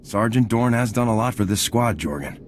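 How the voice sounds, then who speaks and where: Casting: actor for military hero lead, low voice, quick direct delivery, confident, game cutscene. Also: male voice, lively intonation, a little hiss or hum, natural voice. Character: confident, steady male squad commander, soldierly. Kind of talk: scripted video game acting.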